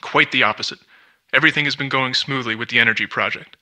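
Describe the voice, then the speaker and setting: An actor playing a guarded, paranoid scientist in a video game, speaking in formal, grave, reflective monologue. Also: male voice, medium pitch, fast talker, sharp tone. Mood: guarded, worried.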